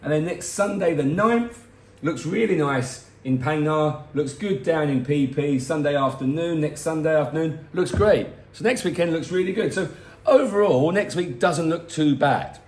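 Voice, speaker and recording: A middle-aged man with a hoarse voice that is going, giving a casual, rambling weather forecast from home with self-mocking asides. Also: fairly high pitch, moderate pace, a little mellow, echoey room. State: hoarse, losing his voice. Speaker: weather forecast host for a boat tour company. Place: home, in front of a garden.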